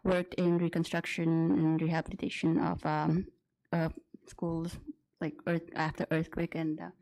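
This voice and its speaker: A young city planner from Nepal introduces herself at a meeting. She speaks hesitantly, with many fillers, in a fairly low voice. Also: completely flat delivery, normal speed, creaky, darkish tone, low-quality recording.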